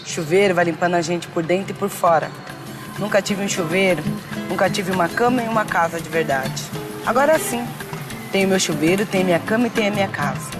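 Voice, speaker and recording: A woman's voice: fairly low pitch, fairly quick, over music. A woman speaking in a reflective interview, often restarting and repeating phrases. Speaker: interviewee, author.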